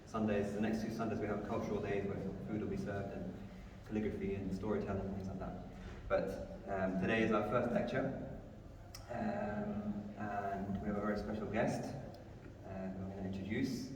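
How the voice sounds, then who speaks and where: Guy hosting a talk, halting and rephrasing, flat intonation, really boomy room far from the mic. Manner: halting, repeating and rephrasing phrases. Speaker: event host introducing and interviewing a guest. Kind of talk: informal spoken introduction and interview. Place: large echoey room in a mosque. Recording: echoey hall, distant mic, faint noise.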